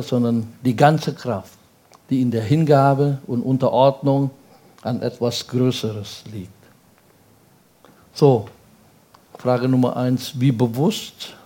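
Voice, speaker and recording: A male pastor preaching in a language that is not his first, peppering his sermon with rhetorical questions and quick checks to the congregation. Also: mid-range voice, dark tone. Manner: speaks German as a second language.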